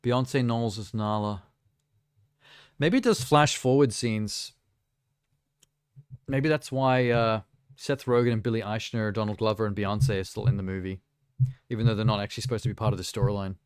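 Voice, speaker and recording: A man's voice: on the low side, steady tone, normal speed, pauses often, smooth, no room sound, clean studio sound. A man from South Africa giving casual, off-the-cuff reactions as a live streamer, with wry, mocking asides.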